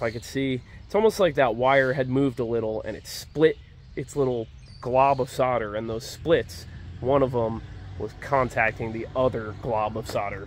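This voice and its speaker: A man's voice: medium pitch, a little hiss or hum. A man in his thirties talking casually to an arm's-length camera outdoors on a motorcycle trip, rambling with easy fillers, a little stuffed up. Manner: easygoing, rambling, frequent "uh" and praise asides. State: stuffed up from allergies. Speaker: motorcycle travel vlogger. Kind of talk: casual on-the-road narration. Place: outdoors by roadsides and a riverbank. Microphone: action camera or phone at arm's length, some helmet-mounted.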